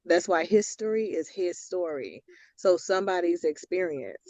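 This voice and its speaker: A man's voice: sits high, animated, normal speed, a little mellow, dry recording. A middle-aged man whose first language is Finnish, a therapist explaining his views at length in a panel debate, on dull, dry lo-fi audio. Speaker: therapist guest.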